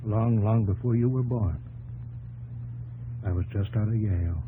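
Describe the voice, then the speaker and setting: A man's voice: fairly low pitch, narrow, tinny audio, a little hiss or hum, close to an everyday voice. Weary, brooding middle-aged inventor, played by a man. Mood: despondent, weary. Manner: halting, brooding, dramatic. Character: weary, bitter 53-year-old inventor professor, brooding. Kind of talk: 1950s radio drama acting. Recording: old radio broadcast, music behind.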